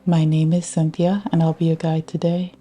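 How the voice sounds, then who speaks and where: A woman guiding a scripted yoga nidra meditation in a soothing, measured way with long pauses. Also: low voice, little pitch movement, warm tone. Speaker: meditation guide. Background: ambient music with ocean sounds.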